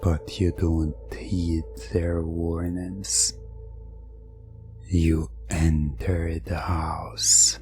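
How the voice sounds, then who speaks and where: A man narrating a scripted hypnosis roleplay in an ASMR style, telling the story with drawn-out pauses in a breathy voice that often drops toward a whisper. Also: low-pitched, flat delivery. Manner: slow, drawn-out second-person storytelling with frequent pauses. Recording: music under the voice. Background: background music.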